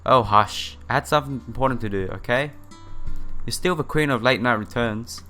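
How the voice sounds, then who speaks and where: Man plays anxious older sister in a visual novel: teenage girl, flustered, eager, bright forceful tone, music behind. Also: mid-range voice, normal speed, natural voice. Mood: defensive.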